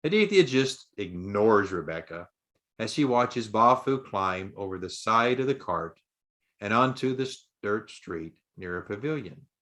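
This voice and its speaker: A man narrating a scripted story in a deliberate, dramatic audiobook style. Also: fairly low pitch, animated, a bit bright.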